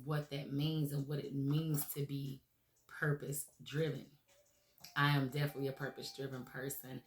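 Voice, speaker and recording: A woman hosting a motivational radio show, her voice raspy from recent laryngitis, talking earnestly and encouragingly with many "um"s and "okay?"s. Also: extremely low pitch, roomy sound. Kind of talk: motivational talk show monologue.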